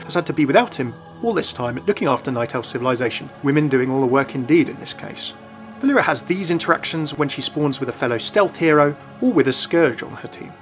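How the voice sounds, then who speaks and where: A man's voice: mid-range voice, fairly bright tone. A man hosting a video game channel, reading a scripted explanatory narration. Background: background music.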